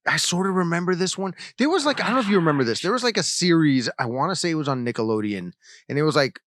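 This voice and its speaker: A man's voice: a little high, lively intonation, quick pace, a bit bright, no echo, clean studio sound. A man co-hosting a trivia podcast, chatting casually and reading out questions in an easygoing, good-humored way. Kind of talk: casual chat.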